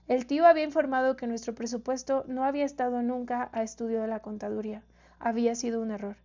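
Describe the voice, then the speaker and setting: A woman's voice: fairly high pitch, mellow. A woman reading literary fiction aloud as an audiobook narrator, carefully, now and then stumbling and repeating a word. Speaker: audiobook reader. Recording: slightly dull, lo-fi sound.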